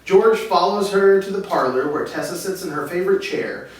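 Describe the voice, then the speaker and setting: A man narrates stage directions from a script in a fairly high voice. He is distant from the microphone, in a very echoey room. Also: normal speed. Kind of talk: scripted narration at a table read. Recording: very echoey room, distant mic, faint hiss.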